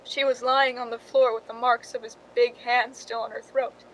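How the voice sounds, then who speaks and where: Casting: actress as scheming jealous woman, high voice, confessional suspense narration for radio drama, slightly noisy recording. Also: flat delivery, moderate pace, fairly bright tone. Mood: tense, urgent.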